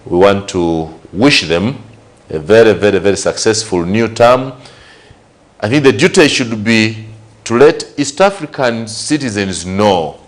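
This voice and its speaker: A man's voice: sits low, darkish tone. A man from Uganda with a Ugandan English accent, giving conversational commentary on current affairs and asking rhetorical questions. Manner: conversational, repeats and lists points, rhetorical questions. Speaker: news show host.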